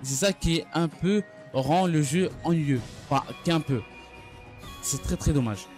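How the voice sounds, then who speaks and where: Higher-voiced man in halting commentary over music. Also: darkish tone. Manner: halting, stumbles and repeats phrases. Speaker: gaming video creator. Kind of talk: unscripted game news recap commentary. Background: background music.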